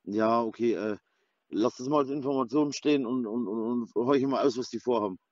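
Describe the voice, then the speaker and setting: A man's voice: medium pitch, a little fast, a little mellow, no echo, muffled recording. Slangy, rambling man in casual chat. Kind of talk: casual chat, voice messages and phone talk.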